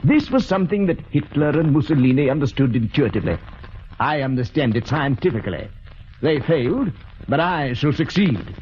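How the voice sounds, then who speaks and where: An actor playing a calm, villainous doctor, polite and clinical, in 1940s radio drama style. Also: male voice, on the high side, close to an everyday voice. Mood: coldly menacing. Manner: polite, measured, hesitant pauses, clinical. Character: calm, cold, calculating villainous male doctor, smugly scientific. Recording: muffled, noisy vintage radio recording.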